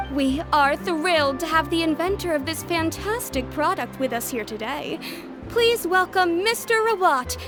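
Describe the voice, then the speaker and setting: An upbeat event host launches a new product in a bright, formal announcer delivery. Her voice sits high, and game music plays clearly behind her. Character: upbeat female event presenter. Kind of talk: video game voice acting.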